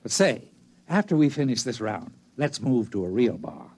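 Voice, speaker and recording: A man's voice: mid-range voice, sing-song, normal speed, vocal fry, darkish tone, husky, heavily acted. An actor playing a shaky, rambling old man in a 1950s radio drama. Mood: chummy. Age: older. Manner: indignant, rambling, chummy. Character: shaky old male failed category inventor.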